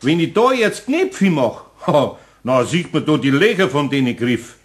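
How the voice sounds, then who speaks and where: Older Bavarian craftsman, homely and plain-spoken, good-natured grumbler, fairly high expressive voice, children's radio drama. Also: unhurried. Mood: exasperated. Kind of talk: German children's radio play.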